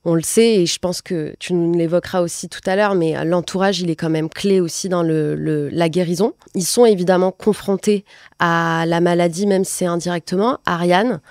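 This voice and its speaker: A woman in her late twenties hosts a conversational talk. Her voice sits on the low side for a woman, and the sound is close and dry. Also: steady tone, moderate pace, a little mellow, no room sound. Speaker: podcast host. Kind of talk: conversational interviewing at a talk event.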